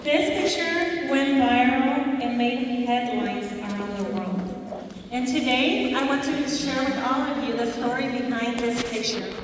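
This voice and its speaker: A woman from Mexico, giving an earnest personal motivational speech at a school in a slow storytelling manner with emphatic pauses. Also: a little high, a crowd in the background. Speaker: guest speaker at a school. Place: large school hall. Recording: echoey hall, voice from far off.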